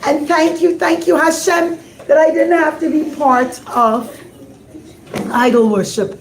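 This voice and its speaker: A woman's voice: sits high, a little slow, echoey room, background noise. A woman voicing a clever, devout male rabbi who puts on theatrical wails. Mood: heartfelt. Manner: theatrical wailing. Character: clever pious rabbi, man, feigning grief.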